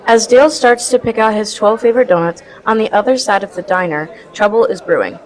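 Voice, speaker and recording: A woman's voice: mid-range voice, brisk, natural voice, rough recording. A woman narrating a comic student radio play from a script. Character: storyteller of a comic melodrama.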